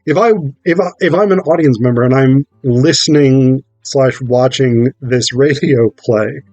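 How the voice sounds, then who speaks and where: A man getting over a cold gives an informal, analytical talk about theatre, thinking aloud in a halting way with rhetorical check-ins. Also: medium pitch, darkish tone, no echo, highs cut off. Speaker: theatre performer explaining a play.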